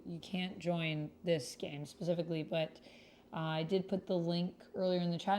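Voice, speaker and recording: A woman's voice: fairly low pitch, a little mellow. A woman hosting a livestreamed text roleplay game, chatting directly with viewers and reading posts aloud.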